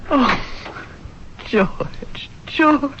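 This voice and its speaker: An actress playing a tender, hopeful wife with an emotional, earnest manner in a 1970s radio drama. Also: female voice, high-pitched, animated, extremely slow, heavy background noise, heavily acted. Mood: hopeful, moved. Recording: dull 1970s radio recording.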